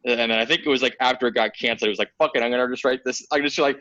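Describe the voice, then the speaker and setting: A man talks in a loose, rambling, informal way among friends. His pitch is average, and the sound is dry and a little dull, as on a video call. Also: fairly even intonation, very fast, sharp tone, dry recording. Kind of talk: casual chat.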